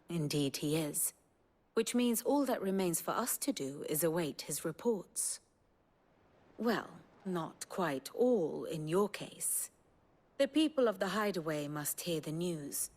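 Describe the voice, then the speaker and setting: A woman playing a composed, wry scholarly strategist in a video game, speaking in a measured, eloquent, theatrical way. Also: lowish voice, lively intonation, a little mellow. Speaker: strategist and lorekeeper. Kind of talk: dramatic video game acting, lecturing. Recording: music behind the voice.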